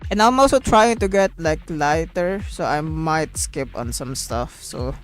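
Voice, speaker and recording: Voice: mid-range voice, unhurried, faint music behind. A streamer chatting casually on a livestream in a rambling way, often repeating phrases.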